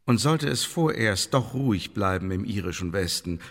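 Sardonic spymaster in a reverberant hall. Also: male voice, lowish voice, lively intonation, moderate pace, a bit bright. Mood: mocking. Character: sardonic, calculating English spymaster, skeptical and officious. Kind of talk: German radio play acting. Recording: long hall reverb, music behind.

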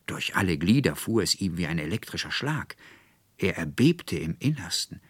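A man narrating a literary tale as an audiobook reader, in an expressive storytelling style. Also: low-pitched, normal speed, slightly rough, no echo.

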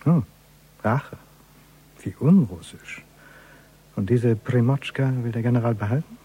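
A man's voice: medium pitch, lively intonation, unhurried, mellow, breathy edge, poor audio. An actor playing a sardonic man in a radio crime drama, speaking in a dry, ironic manner.

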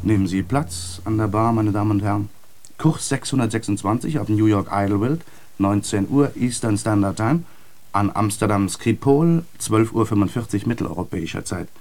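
An actor playing a polite Dutch airline steward in a radio drama, addressing passengers formally as if making an announcement. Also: male voice, fairly low pitch, moderate pace, a little mellow, close to an everyday voice. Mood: courteous, formal.

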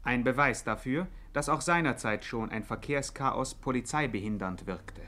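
An actor narrating a 1950s German radio crime satire in a dry, formal way. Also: male voice, mid-range voice, normal speed, sharp tone, slight background noise, natural voice. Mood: dry, matter-of-fact.

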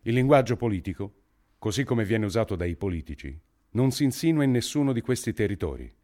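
A man playing an earnest writer who gives a formal public speech in a measured, deliberate, rhetorical way. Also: lowish voice, animated, a little mellow, lightly acted. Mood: solemn, earnest. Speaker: actor reading a writer's speech. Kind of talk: declamatory dramatic monologue, formal speech.

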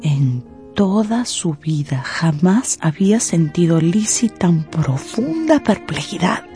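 A woman's voice: fairly low pitch, sing-song, slow, warm tone, faint music behind. A woman narrator reading scripted storytelling for a radio drama.

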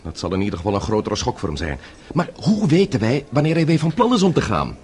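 Fast and lively, a sceptical questioner in a radio drama. He sounds incredulous, over faint background noise. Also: medium pitch. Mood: doubtful. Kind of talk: Dutch radio play acting. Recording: radio play with noisy background ambience.

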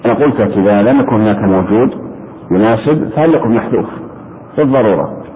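Low-voiced male grammar teacher on a phone-like recording. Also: quick pace. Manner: didactic, repeats points and quizzes. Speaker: religious scholar teaching Arabic grammar to students. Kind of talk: interactive classroom lesson, questioning students. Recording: muffled phone-like sound with steady background noise.

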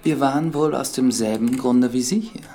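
A man's voice: mid-range voice, normal speed, dark tone, close to an everyday voice. A young man acting an earnest, polite priest turned investigator in a German radio drama, courteous and explanatory. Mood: polite, apologetic. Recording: studio radio drama with music underneath.

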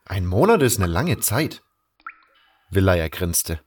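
A man's voice: sits low, sing-song, slow pace, slightly rough, full character voice. A man playing an earnest, brooding adventurer who tells his own story in a fan audio drama. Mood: resolved, subdued. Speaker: narrator and lead actor in a fan audio drama. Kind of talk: first-person dramatic narration and dialogue.

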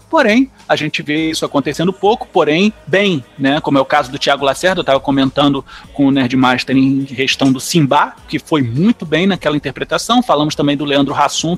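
A man with a Brazilian accent and a distinctly high voice talks casually on a podcast. He sounds enthusiastic and tends to ramble, looping into side remarks as he goes. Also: a bit bright.

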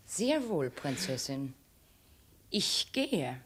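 An actress playing a dignified, reproachful young slave who was once a princess, in a formal 1950s German radio play style. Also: female voice, extremely low pitch, very animated, drawn-out words, slight background noise, heavily acted. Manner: formal, pointed, composed.

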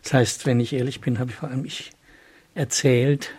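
An actor playing an earnest, troubled older gentleman in a conversational radio drama, speaking haltingly and formally. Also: medium pitch, flat delivery, slightly rough, close to an everyday voice. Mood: awkward, polite.

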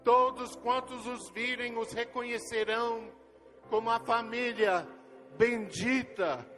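Older man, non-native speaker, missionary testimony, unhurried storytelling, faint music behind. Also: very high voice, steady tone, pushed and bright. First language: not Portuguese. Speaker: missionary leader. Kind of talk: testimony sermon to a congregation. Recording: long hall reverb with faint music.

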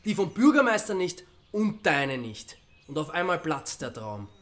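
Radio crime drama: unflappable Austrian sleuth, slightly high-pitched, sardonic, needling, thinking aloud. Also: male voice, big pitch swings, moderate pace, a bit bright. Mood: sardonic, explaining. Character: wry amateur sleuth confronting a killer, needling, unflappable.

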